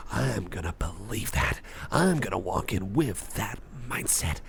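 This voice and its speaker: A man's voice: sits low, big pitch swings, unhurried, creaky, a little hiss or hum, heavily acted. A man playing a jittery, socially anxious, over-caffeinated guy in an ASMR roleplay, rambling and stammering as he repeats himself. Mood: eager, rambling. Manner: rambling, stammering, repeats phrases, self-doubting. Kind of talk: ASMR roleplay monologue addressed to the listener.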